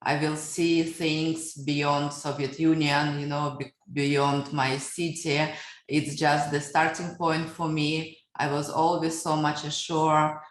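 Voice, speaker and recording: Low-voiced Russian woman in an echoey room. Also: flat delivery, takes it slowly. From Siberia, Russia. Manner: reflective, storytelling, frequent fillers. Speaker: guest. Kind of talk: podcast interview answers.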